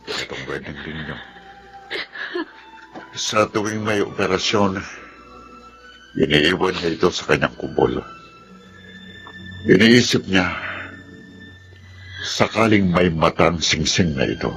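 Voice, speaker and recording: A very deep, gravelly Filipino officer. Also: male voice, lively intonation, slow pace, long pauses, a bit bright, soft background music, exaggerated voice. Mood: solemn, sympathetic. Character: solemn military officer, sympathetic and grave. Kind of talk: Filipino radio drama acting.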